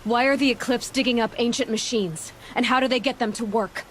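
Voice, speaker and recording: An actress playing a determined, guarded young huntress in a video game, asking blunt and direct questions in terse phrases. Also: female voice, a little high, brisk, a little hiss or hum, close to an everyday voice. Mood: insistent. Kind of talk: dramatic video game dialogue.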